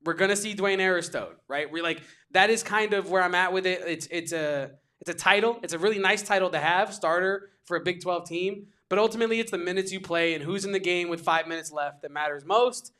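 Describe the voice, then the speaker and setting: Male sports podcast co-host, high and sharp-toned, excitable and repetitive, restarts often. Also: fairly quick, hardly any pauses, clean tone. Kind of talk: casual sports talk.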